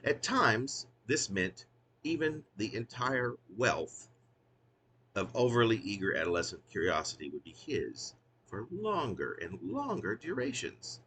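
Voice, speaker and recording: A man's voice: on the low side, big pitch swings, measured pace, bright, forceful tone, a little hiss or hum. A man who is a poet reading his own wry, literary work in a theatrical, emphatic way, drawing out phrases.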